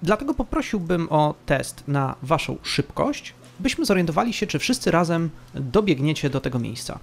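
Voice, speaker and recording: A man narrating a tabletop fantasy game as game master, storytelling with dramatic, deliberate pauses. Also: fairly high pitch, big pitch swings, creaky.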